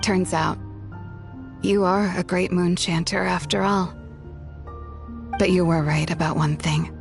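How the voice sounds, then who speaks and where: Bitter game renegade girl, dramatic n slow, music in the background. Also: on the low side, fairly even intonation, vocal fry. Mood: wry, resigned. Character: proud, bitter young woman who deserted her clan. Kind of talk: video game character dialogue.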